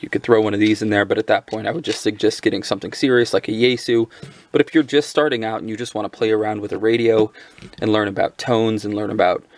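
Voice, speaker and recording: A man giving a casual, off-the-cuff product overview in a halting, conversational manner. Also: lowish voice, creaky. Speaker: product reviewer. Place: indoors at a tabletop.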